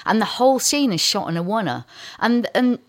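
A woman's voice: on the high side, lively intonation, fairly quick. A woman co-hosting a casual music chat show, talking enthusiastically and rambling through stories with frequent restarts. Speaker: radio co-host.

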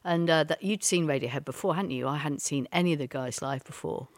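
A woman's voice: sits low, brisk, no room sound. A woman co-hosting a podcast, chatty, teasing and effusive in casual music talk. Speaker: podcast co-host.

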